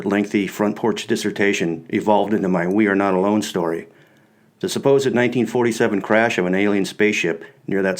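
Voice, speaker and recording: A man reading his own book as scripted audiobook narration, in the first person. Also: low-pitched.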